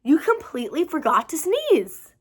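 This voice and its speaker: A woman's voice: high-pitched, huge pitch swings, normal speed. A woman voicing a bold, mischievous little mouse from a storybook, with a teasing manner. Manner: teasing, mocking.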